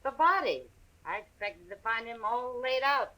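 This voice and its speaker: A woman's voice: a little high, lively intonation, moderate pace, sharp tone. Shrewd, wry frontier woman. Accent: American. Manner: wry, cool, direct. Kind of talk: old-time radio western acting.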